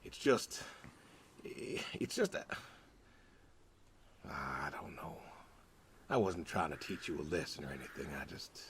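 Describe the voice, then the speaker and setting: An actor playing a lonely, aging pig farmer, gentle and resigned, speaking hesitantly and wistfully in a naturalistic game performance. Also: male voice, a bass voice, very animated, slow pace, long pauses, slight background noise, full character voice. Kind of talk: naturalistic narrative game voice acting.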